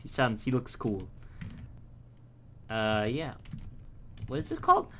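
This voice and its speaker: A man's voice: medium pitch, wildly swooping pitch, takes it slowly, gravelly. A young man from the Philippines giving casual, rambling, profane gameplay commentary full of tangents. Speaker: gaming YouTuber.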